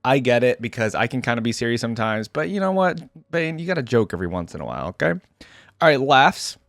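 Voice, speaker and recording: A man's voice: medium pitch, very animated, fast talker, gravelly, fairly bright tone, no echo, studio quality. A man hosting a reaction video, giving casual, musing commentary on game voice lines in a conversational way.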